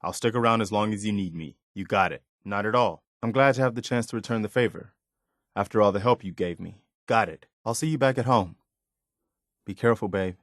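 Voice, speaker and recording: Casting: male actor for idealistic wasteland militiaman, video game companion, lowish pitch, dry close sound, sincere and measured delivery. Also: fairly bright tone, no echo, close to an everyday voice. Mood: warm, sincere. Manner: sincere, plain-spoken, measured. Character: earnest, idealistic militia soldier in a post-apocalyptic wasteland. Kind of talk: video game voice acting, naturalistic dialogue.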